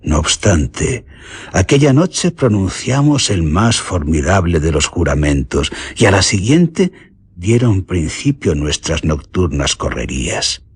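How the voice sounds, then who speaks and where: Ominous bandit storyteller, rough husky low voice, dry studio sound, Castilian accent, theatrical radio drama. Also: male voice, sing-song, slow pace, no room sound, exaggerated voice. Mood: dramatic, ominous. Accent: Castilian Spanish. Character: bandit recounting his gang's dark deeds, grave and ominous. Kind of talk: dramatic scripted narration, radio horror drama.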